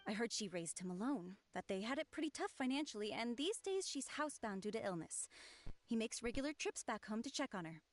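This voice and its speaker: A woman's voice: on the high side, big pitch swings, a little fast, no room sound. A young woman playing a lovelorn, defensive researcher in a video game, huffy and dramatic. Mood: worried, evasive. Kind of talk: anime-style video game voice acting.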